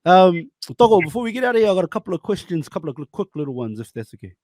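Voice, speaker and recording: A young man hosting a casual podcast interview, slangy, animated and quick to laugh. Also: sits high, no room sound, studio quality. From New Zealand. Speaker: podcast host.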